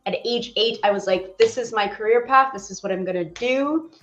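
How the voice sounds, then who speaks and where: A woman's voice: on the high side, fairly quick, highs cut off, soft background music. Chatty woman on an echoey call. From Poland. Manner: chatty and animated, with laughs and many fillers. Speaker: animator guest. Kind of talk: casual interview chat.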